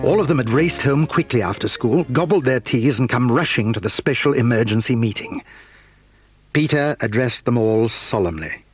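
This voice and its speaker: A man reading scripted narration for a children's story in a measured, clear delivery. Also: medium pitch, animated. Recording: guitar music behind the voice.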